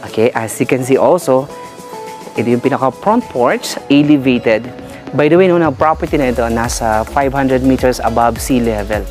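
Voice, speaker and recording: A man's voice: mid-range voice, moderate pace, a little mellow, faint music behind. A man with a Filipino accent, hosting a walk-through real estate tour and mixing English with Tagalog in a salesy way. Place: house and yard, in and out of doors.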